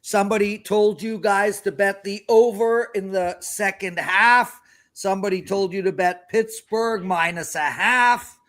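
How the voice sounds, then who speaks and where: High-voiced man hosting a betting show. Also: steady tone, measured pace, a bit bright. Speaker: host. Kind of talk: live sports betting show chat.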